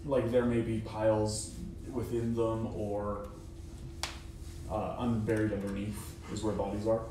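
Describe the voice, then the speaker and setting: A man running a tabletop game, describing the scene in an unhurried way around a table in a dining room. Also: lowish voice, completely flat delivery, recorded from a distance. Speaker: game master. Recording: echoey room, from afar, faint noise.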